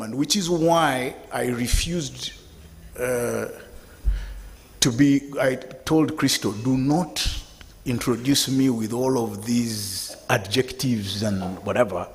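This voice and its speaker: A man in his fifties gives a public talk to a seated audience, speaking in a lively, conversational way. His voice sits in the middle range. The sound carries a long reverb from the event hall. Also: medium pitch, a little hiss or hum. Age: 50s. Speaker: guest speaker.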